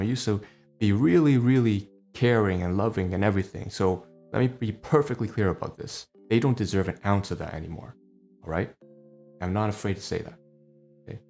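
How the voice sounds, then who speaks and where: Heated low-voiced man ranting at home. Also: a little mellow. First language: Cantonese. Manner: heated, profane ranting with many rhetorical tags. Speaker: vlogger. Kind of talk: personal vlog rant.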